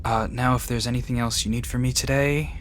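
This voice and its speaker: A man's voice: mid-range voice, steady tone, a little fast, lightly acted. A man playing a kind, slightly awkward vet tech in an audio drama, reassuring but hesitant. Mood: hesitant, helpful. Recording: faint background noise and ambience.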